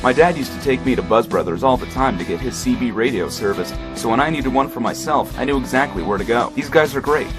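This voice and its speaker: Guy acting as happy customer in a local ad, family man, talks quick, guitar behind. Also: medium pitch, expressive, natural voice. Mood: pleased. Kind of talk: local commercial testimonial.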